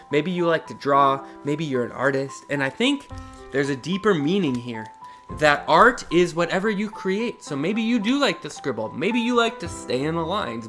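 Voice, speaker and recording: Bright, very animated man reading to kids. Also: fairly high pitch. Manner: expressive, addressing young listeners directly. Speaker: host reading children's books aloud. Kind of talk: animated read-aloud storytelling for kids.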